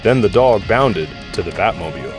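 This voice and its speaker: A man's voice: on the low side, expressive, fairly quick, music in the background. A man reading scripted story narration for an audio drama. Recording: faint ambient noise behind.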